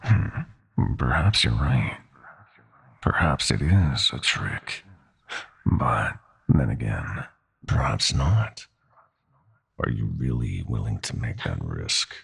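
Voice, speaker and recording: A man playing a menacing, gloating god of nightmares in an audio drama, speaking in silky, mocking threats with theatrical slowness. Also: expressive, fairly bright tone, exaggerated voice. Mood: sly mocking. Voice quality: very deep, gravelly, breathy. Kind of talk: roleplay audio drama acting.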